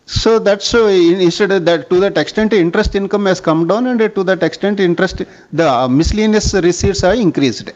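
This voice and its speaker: Indian man, higher-pitched, dull call audio. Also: a little fast, hardly any pauses, darkish tone. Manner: formal, often addressing listener as sir. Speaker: bank executive answering analysts' questions. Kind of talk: earnings call question-and-answer.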